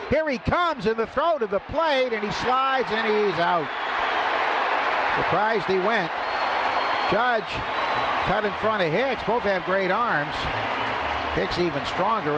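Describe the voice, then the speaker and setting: Brief: male play-by-play caller, slightly high voice, dull lo-fi radio sound with loud ballpark noise behind. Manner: rises sharply in pitch and volume on big plays. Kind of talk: live baseball radio commentary.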